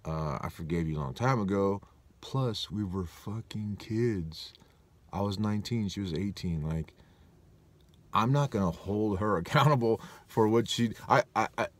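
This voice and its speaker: Deep-voiced man in his forties musing. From Southern California. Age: 40s. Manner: rambling, self-correcting, reflective. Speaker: vlogger. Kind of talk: casual personal storytelling.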